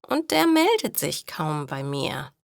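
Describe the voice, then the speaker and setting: A woman voicing a kind but shrewd, plain-spoken elderly grandmother in a storybook read for language learners, with deliberate, matter-of-fact delivery. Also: deep voice, big pitch swings, gravelly, dry recording, clean studio sound, voice pushed down, heavily acted. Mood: frank, encouraging.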